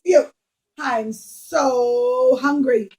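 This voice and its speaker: A woman voicing a rude, greedy young hunter in a puppet show, exclaiming loudly with whiny impatience. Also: sits high, very slow. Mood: hungry.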